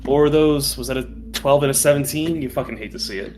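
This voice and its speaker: A man's voice: medium pitch, fast, darkish tone. A man playing a tabletop game, bantering casually in a slangy, irreverent way. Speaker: player in a tabletop game podcast. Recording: music bed behind the voice.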